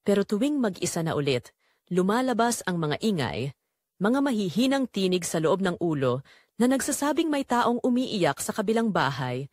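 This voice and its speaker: A woman's voice: lowish voice, no echo, clean studio sound. A woman delivering emotive, confessional first-person narration for a scripted audio drama.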